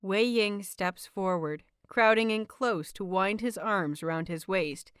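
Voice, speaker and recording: A woman hosting and narrating a fiction audiobook in an expressive, carefully enunciated storytelling style. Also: mid-range voice, unhurried, clean tone, dry recording, studio quality. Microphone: close microphone, dry recording.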